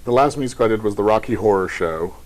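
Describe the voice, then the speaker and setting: A young man from Kentucky with a very deep voice, chatting casually in a TV interview as a theater director. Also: fast talker. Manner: relaxed, chatty, light laughs.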